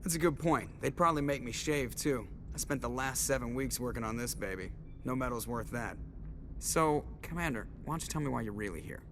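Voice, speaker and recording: A man's voice: mid-range voice, brisk, noisy background, close to an everyday voice. A man acting a cocky, wisecracking starship pilot in a science fiction video game, casual and boastful. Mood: flippant, teasing.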